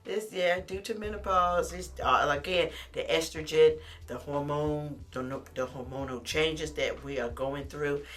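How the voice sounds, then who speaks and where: Chatty middle-aged woman with a deep, bright voice. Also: measured pace. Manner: chatty, animated, calls the viewer honey. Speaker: lifestyle vlogger. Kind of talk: casual talk to camera.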